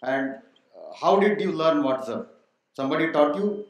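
A man's voice: on the high side, animated, normal speed. A middle-aged man moderating a panel with a handheld mic, putting questions straight to the audience. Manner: quizzes the audience directly. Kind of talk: panel discussion. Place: large auditorium stage. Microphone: handheld microphone. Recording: very echoey hall.

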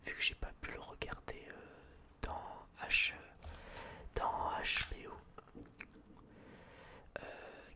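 A person with a French accent whispering in a breathy, unvoiced voice, chatting casually and haltingly in a rambling, self-deprecating relaxation vlog. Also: pushed and bright. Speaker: video creator reviewing film purchases.

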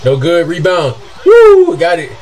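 Excitable young man hollering at a game. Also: measured pace, soft background music. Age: young adult. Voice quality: deep voice. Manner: excitable, yelps and hollers, slangy trash talk. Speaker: sports reaction streamer. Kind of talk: casual reaction commentary. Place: small room indoors. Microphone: webcam and desk mic.

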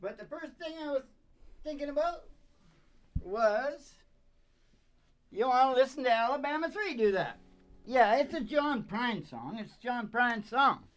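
A man's voice: very high voice, sing-song, a little slow, long pauses, fairly bright tone. An older man in casual, rambling, jokey stage banter as a music show host, speaking into a stage microphone. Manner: rambling, jokey, with frequent chuckles. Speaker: host of a music podcast and singer. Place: small curtained indoor stage. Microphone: stage microphone on a stand. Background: acoustic guitar.

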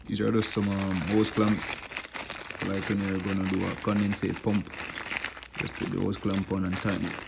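A man's voice: low-pitched, monotone, moderate pace, gravelly, heavy background noise. A young man, an HVAC technician, casually walking through his tool bag at home, explaining each tool plainly with fillers, recorded on a phone held close. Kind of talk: casual tool tour walkthrough. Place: indoors at home, wooden floor. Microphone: phone camera held close, filming hands.

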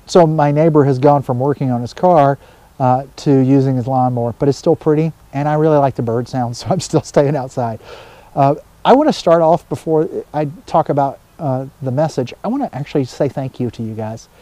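A middle-aged man speaking as a pastor, giving an informal sermon to camera in a conversational way with pauses mid-thought. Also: mid-range voice, mellow, no echo, poor audio. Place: outdoors in a wooded backyard.

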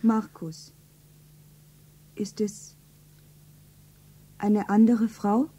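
Lovestruck young shopgirl: earnest, very breathy, mellow, 1970s radio play. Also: mid-range voice, flat delivery. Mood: anxious, reproachful. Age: young adult. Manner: breathy, earnest, deliberate self-narration. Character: Gabi, lovestruck ordinary young working girl. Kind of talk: stylised 1970s German radio play acting.

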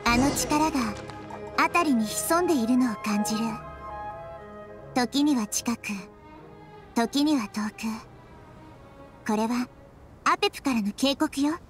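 A little girl deity in a video game, high-pitched and childlike. She is calm, wise and compassionate, with earnest, measured delivery. Faint music plays behind her. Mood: gentle, watchful.

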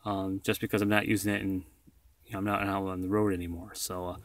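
A man's voice: low-pitched, little pitch movement, fast, no room sound. An American man in a casual, rambling, self-deprecating vlog, showing off gear as he talks. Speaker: vlogger, former truck driver. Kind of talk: casual product showcase vlog. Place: inside a parked vehicle, later outdoors beside it.

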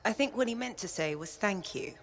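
An actress playing a composed, sensible mission navigator in a video game, with a measured, dry, precise manner. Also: female voice, medium pitch, expressive, close to an everyday voice. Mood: chiding. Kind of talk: video game dialogue acting.

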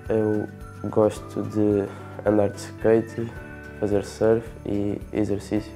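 A nineteen-year-old university student with a European Portuguese accent, speaking in a halting way with pauses into a lapel mic. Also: male voice, fairly low pitch, completely flat delivery, mellow, faint music behind. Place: dark indoor studio.

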